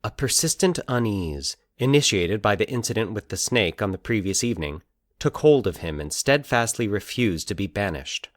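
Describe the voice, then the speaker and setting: Low-pitched male storyteller, animated intonation. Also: no echo, studio quality. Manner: measured, deliberate, formal storytelling. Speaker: narrator. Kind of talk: scripted narration of eerie fiction.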